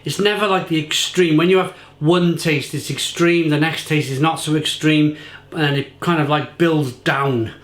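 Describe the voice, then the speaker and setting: Excitable man reviewing beer, echoey room. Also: on the high side, moderate pace. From England. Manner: enthusiastic, rambling, repeats himself. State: slightly croaky. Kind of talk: casual tasting review to camera.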